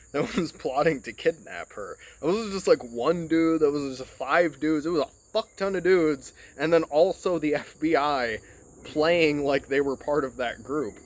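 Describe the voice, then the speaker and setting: A man's voice: fairly high pitch, no room sound. A man from Michigan in a casual, rambling monologue, recorded on his phone, swearing often and filling his talk with "like" and "you know". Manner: rambling, profane, heavy filler words. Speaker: podcast host.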